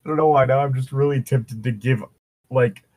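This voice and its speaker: A man's voice: medium pitch, moderate pace, darkish tone. A man reading fan fiction aloud with casual, joking banter and digressive commentary. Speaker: co-reader and commentator.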